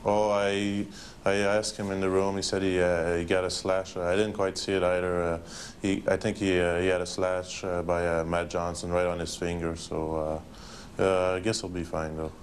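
A man's voice: sits low, flat delivery, normal speed. A man, a hockey player guest, giving casual and hesitant interview answers on a television broadcast. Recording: faintly noisy old TV broadcast.

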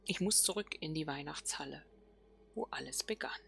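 A woman giving casual game commentary, musing softly and half whispering to herself. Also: sits low, expressive, moderate pace, gravelly, a little hiss or hum. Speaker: let's player.

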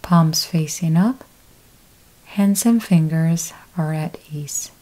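A woman leading a guided yoga nidra meditation, giving calm step-by-step instructions with long pauses. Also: low voice, rough recording.

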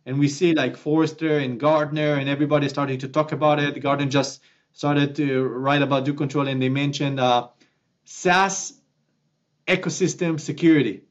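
A man, a founder answering questions in a remote interview, animated and prone to restarts. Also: fairly high pitch, normal speed, highs cut off. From Israel. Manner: animated, gestures with both hands, many restarts. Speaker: company founder guest. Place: small office at home, indoors. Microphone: webcam at desk, video call.

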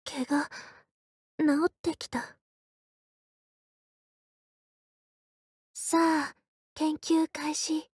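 Quiet bookish soldier girl from a game, high voice, deadpan n halting, dry close recording. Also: no echo. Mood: quiet, reserved. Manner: soft-spoken, deadpan, halting short phrases. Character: quiet, reserved young female soldier, bookish and injury-prone. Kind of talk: Japanese game character voice lines.

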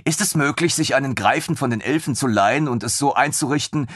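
A man voicing an eager, excitable male lieutenant in an audiobook, talking in breathless, gushing questions. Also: mid-range voice, brisk, slightly rough, dry recording, clean studio sound, pitched above the performer's own voice. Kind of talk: audiobook reading.

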